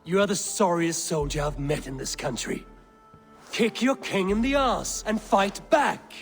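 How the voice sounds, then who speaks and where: An actor playing a stern, fearless Viking raider in a video game cutscene, speaking in a solemn, blunt manner. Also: male voice, high-pitched, measured pace. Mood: blunt, challenging. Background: music.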